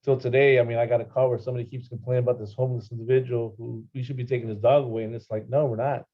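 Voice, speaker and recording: Even-toned man, slightly dull audio. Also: mid-range voice, very fast. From Los Angeles, California. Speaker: panel guest, animal services worker. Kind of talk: casual conversational answers.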